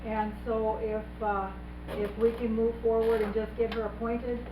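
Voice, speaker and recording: A woman's voice: fairly high pitch, little pitch movement, measured pace, background noise. A woman chairing a heated board meeting, insistent and talking over others, recorded from far off in an echoey room.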